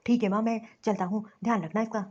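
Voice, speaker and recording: Protective young husband for family cartoon female actor; husky, earnest, placating, lo-fi sound. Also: mid-range voice, fairly even intonation, fast talker, darkish tone, natural voice. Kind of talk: Hindi family-drama cartoon voice acting. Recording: dull, lo-fi recording.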